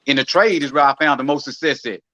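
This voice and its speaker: Bright-voiced laid-back man. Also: on the high side, quick pace, no room sound. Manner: laid-back storytelling about his own work path, frequent "man" and "I mean". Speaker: podcast co-host. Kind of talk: casual podcast discussion. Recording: dull lo-fi sound.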